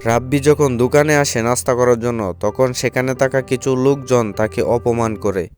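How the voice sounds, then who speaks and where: Male narrator for a cartoon tale, average pitch, scripted storytelling over a light music bed. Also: moderate pace, darkish tone. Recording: faint music behind the voice.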